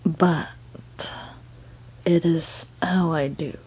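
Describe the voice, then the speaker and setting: A woman's voice: low-pitched, steady tone, drawn-out words, darkish tone, slightly rough, poor audio. A woman chatting casually in a goofy, playful, rambling way as she presents her own amateur comic dub. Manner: goofy, playful, self-interrupting. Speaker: amateur comic dubber and artist. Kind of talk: casual rambling chat over drawings.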